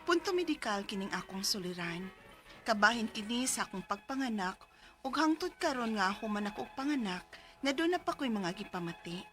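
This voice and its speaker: A woman's voice: mid-range voice, very animated, fairly quick, fairly bright tone, soft background music. A young woman in her twenties with a Cebuano accent, narrating and acting her own story in a radio drama, earnest and easily alarmed. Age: 20s. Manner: earnest, then breathless and panicky in scenes.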